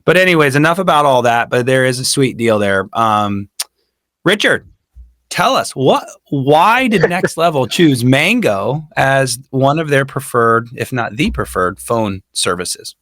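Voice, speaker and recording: A hoarse man hosts a casual podcast on a close studio mic. He talks loosely and chattily and often restarts his sentences. The room sounds dry and close. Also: medium pitch, expressive, measured pace, fairly bright tone, no echo. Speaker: podcast host.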